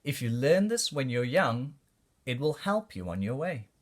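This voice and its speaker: Male storybook reader for young kids, lively and varied intonation, unhurried pace, expressive phrasing. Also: mid-range voice. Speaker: children's book reader. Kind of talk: storybook read-aloud for kids.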